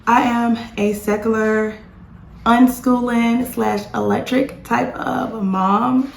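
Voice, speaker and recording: A woman in her thirties, slightly hoarse, chatting in a rambling homeschool vlog from her home. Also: on the high side, steady tone, takes it slowly, reverberant, slight background noise. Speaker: homeschooling mom vlogger. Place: home with dishwasher and dryer running.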